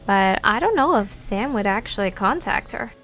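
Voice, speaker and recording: A woman's voice: mid-range voice, lively intonation, moderate pace, fairly bright tone, a little hiss or hum. A woman narrating a scripted audio drama. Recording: music behind the voice.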